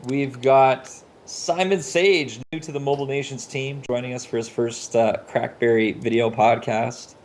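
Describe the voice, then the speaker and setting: A man's voice: medium pitch, fairly even intonation, unhurried, few pauses, slight background noise. A man hosting a video podcast over a video call, talking in a chatty, informal way. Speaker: podcast host. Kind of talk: casual video podcast talk. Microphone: Skype video call.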